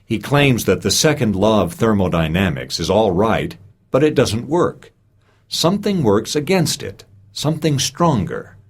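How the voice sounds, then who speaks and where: A man reads a prepared scholarly speech in a rather low, husky voice. His intonation moves widely and with animation. He leans on key words in a rhetorical, emphatic way, as a lecturer making an argument. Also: sing-song, measured pace. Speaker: reader of a recorded speech. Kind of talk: scripted reading of a scholarly lecture.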